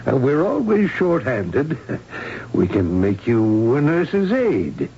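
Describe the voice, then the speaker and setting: A man playing an evasive, patronizing surgeon with formal, deliberate speech in a 1970s radio drama. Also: medium pitch, wildly swooping pitch, warm tone, exaggerated voice. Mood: solicitous. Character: smooth-talking, evasive, patronizing male surgeon at a clinic. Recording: dull, noisy 1970s radio recording.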